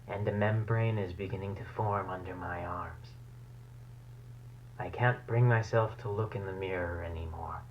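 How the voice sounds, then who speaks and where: Horror tape diary: male actor as anxious scientist mutating into bat creature. Low, brooding, halting, clinical; noisy, humming recording. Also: muffled recording. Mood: despairing. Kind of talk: horror audio diary, analog horror acting.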